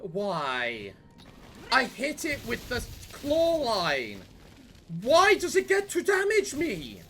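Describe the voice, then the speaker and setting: High, lively man ranting over gameplay. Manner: swears and rants at the game. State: strained voice from yelling. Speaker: let's play host. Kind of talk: gaming commentary.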